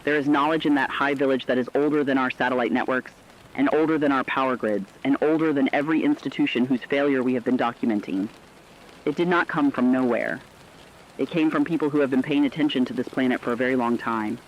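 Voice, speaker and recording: A man playing the steadfast host of a last-ditch radio station, reading a solemn, measured, poetic broadcast script. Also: mid-range voice, muffled recording, slight background noise, close to an everyday voice. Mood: solemn, reflective.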